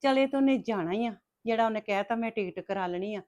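A mid-pitched, sly voice belongs to a woman acting a scheming daughter-in-law. She taunts her mother-in-law with smug, defiant threats. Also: quick pace, a little mellow, close to an everyday voice. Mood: taunting.

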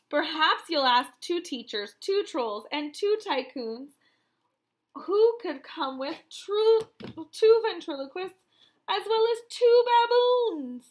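A woman in her thirties reading a picture book aloud to young children as a counting lesson, in an animated, wondering manner full of questions. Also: high-pitched.

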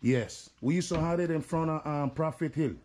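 A man's voice: a little high, normal speed, darkish tone. A man, chatting loosely and laughing as he vlogs outdoors by a pig pen. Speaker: vlogger. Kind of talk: casual chat. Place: outdoor pig pen under tin roof.

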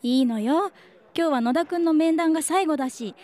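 A woman's voice: sits high, normal speed, a little mellow, natural voice. A woman playing a playful, shameless schoolteacher in a comedic radio drama, joking with a straight face. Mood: breezy, joking. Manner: teasing, straight-faced joking. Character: playful, shameless female homeroom teacher.

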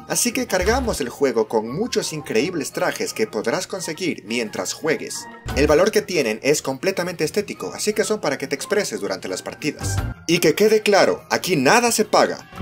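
A man with a Latin American Spanish accent reading scripted game trailer narration in an enthusiastic, promotional manner. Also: fairly high pitch. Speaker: voice-over narrator of a fan dub.

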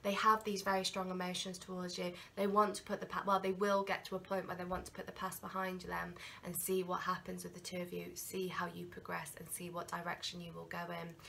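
A woman's voice: fairly low pitch, brisk, gravelly, few pauses. A woman in her thirties giving a chatty tarot reading to camera in a small candlelit room, battling a cold. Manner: chatty, animated hand gestures, slips into quoted inner voices. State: has a cold. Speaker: tarot reader. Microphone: camera in front at desk distance.

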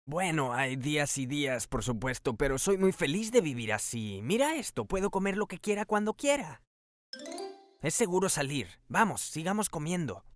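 This is a haughty city mouse from a children's cartoon, acted out by a performer. The mouse brags about fine living in a mid-pitched, very animated voice. The delivery is expressive and snobbish. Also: moderate pace, soft background music, natural voice. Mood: smug, self-assured. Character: boastful, snobbish city mouse who loves luxury. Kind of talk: children's cartoon dub acting.

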